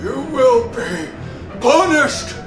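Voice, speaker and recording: Man acting a slow, anguished, haunted husband. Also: extremely high pitch, big pitch swings, exaggerated voice. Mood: tormented. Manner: halting, agitated, dramatic. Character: anguished, guilt-ridden husband haunted by nightmares. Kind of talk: old-time radio horror acting. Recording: echoey room, faint music behind.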